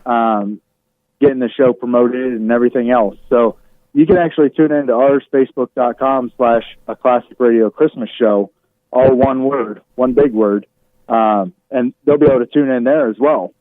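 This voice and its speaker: A man's voice: medium pitch, normal speed, no room sound. A man who hosts online radio, chatting casually as an interview guest over a remote call. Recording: muffled narrow-band remote call sound.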